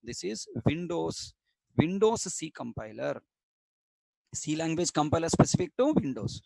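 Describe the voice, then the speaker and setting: A man's voice: on the high side, sing-song, a little slow, gravelly, mellow, dry recording, poor audio. A stern man from Coastal Andhra with a Telugu-accented Indian English, lecturing an online programming class, repeating points and quizzing students. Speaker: programming instructor.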